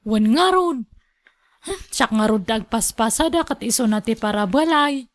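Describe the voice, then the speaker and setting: An actress with an Ilocano accent playing a coy, hardworking young village woman with hesitant, drawn-out interjections in a radio drama. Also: fairly high pitch, lively intonation, close to an everyday voice. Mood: resigned.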